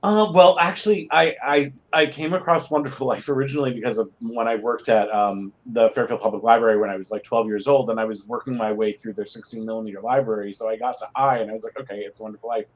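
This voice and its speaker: A man's voice: lowish voice, fairly even intonation, quick pace, few pauses. A middle-aged man, a guest on a talk show, recalling things casually in a rambling, self-correcting way. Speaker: guest, playwright. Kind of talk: casual conversational recollection.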